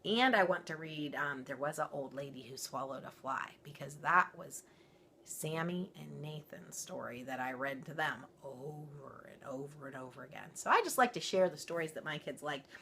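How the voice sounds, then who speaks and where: Woman doing storytime for kids, bright n really expressive, lowish voice, chatty asides. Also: normal speed, vocal fry, a little hiss or hum. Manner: informal, playful, animated. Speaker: storyteller for children.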